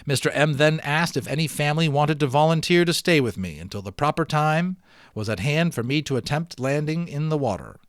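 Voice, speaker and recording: A man's voice: a little high, brisk, dry recording, clean studio sound. Man reading a children's novel aloud. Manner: expressive reading, dropping into chatty offhand commentary. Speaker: amateur audiobook reader. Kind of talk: dramatic read-aloud of a children's novel with casual asides.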